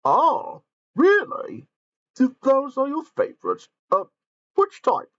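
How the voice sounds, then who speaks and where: A man doing a squeaky, clumsy bearded grump. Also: huge pitch swings, takes it slowly, full character voice. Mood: cheerful. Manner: stammering, squeaky exclaiming. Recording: dull lo-fi recording, faint music.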